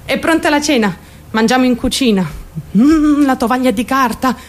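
A woman's voice: high voice, moderate pace, close to an everyday voice. An actress playing an obsessive, unstable young woman in a theatrical radio monologue, confiding and blunt, shifting abruptly and seeking agreement. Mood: disdainful.

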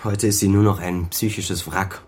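An actor playing a weary, defensive son and former officer in a naturalistic radio crime drama, speaking in a bitter, guarded way. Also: male voice, low voice, fairly even intonation, normal speed, slightly rough. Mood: bitter, sad. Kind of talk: naturalistic German radio play dialogue.

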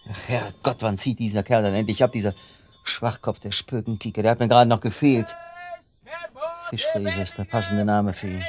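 A man's voice: fairly low pitch, fairly even intonation, normal speed, crowd noise, lightly acted. Man playing a weary, irritable husband. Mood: irritated. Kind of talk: German radio drama acting.

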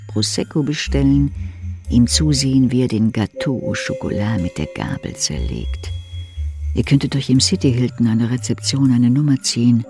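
An actor playing an intimate inner-voice narrator in a radio drama, speaking in an insistent, pointed way. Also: male voice, mid-range voice, creaky, darkish tone. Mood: suggestive. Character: intimate needling inner-voice narrator addressing a weary wife.

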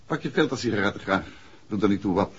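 An actor playing a courteous, inquisitive gentleman detective in an old radio drama, speaking politely and probingly. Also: on the low side, expressive, fast, a little hiss or hum, natural voice. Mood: polite, casual. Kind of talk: 1960s Dutch radio drama acting. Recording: muffled old radio recording.

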